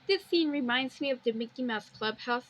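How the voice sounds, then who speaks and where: A woman with a high-pitched voice hosts a homemade walkthrough in a casual way. She describes what she sees in plain terms and drifts into her own little asides. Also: fairly quick. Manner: plainly describes pictures, wandering into personal asides. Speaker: amateur video host.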